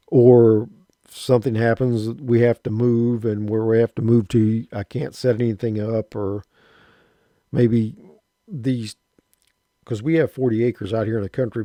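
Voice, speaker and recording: A man in his late fifties talks in a relaxed, rambling way, stopping and restarting as he remembers. His voice is dark and mellow. The recording is dry, with no room sound. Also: medium pitch, no room sound. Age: 50s. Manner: rambling, halting, many restarts. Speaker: shortwave radio hobbyist. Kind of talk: casual personal storytelling. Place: rural home.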